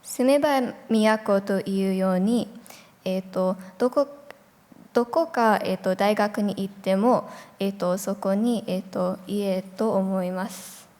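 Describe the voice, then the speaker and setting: A young woman, a student contestant, improvising an answer in a halting way as she searches for words. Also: mid-range voice, darkish tone. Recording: echoey hall with long reverb.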